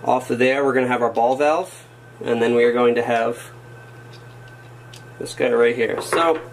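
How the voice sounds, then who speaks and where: A man's voice: medium pitch, fairly even intonation, unhurried, rough recording. A man casually talking through a hands-on workshop project, muttering to himself as he works and making wry asides about his own mistakes. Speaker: hobbyist showing a workshop project. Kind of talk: casual how-to narration while working. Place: indoors at a wooden workbench or floor. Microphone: camera framed on his hands over the work.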